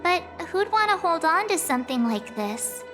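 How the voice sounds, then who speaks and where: A woman's voice: very high voice, very animated, moderate pace, fairly bright tone, faint music behind, heavily acted. A woman voicing a curious, helpful young girl adventurer in a video game, musing aloud inquisitively.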